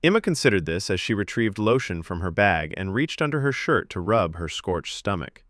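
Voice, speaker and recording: Male fiction narrator. Lowish pitch, very varied intonation, measured storytelling, dry recording. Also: big pitch swings, fairly bright tone, no echo. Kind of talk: scripted audiobook narration.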